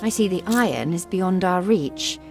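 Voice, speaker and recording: An actress playing an earnest, devoted young sister and adviser in a video game. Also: female voice, on the low side, animated, normal speed, over music. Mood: fervent.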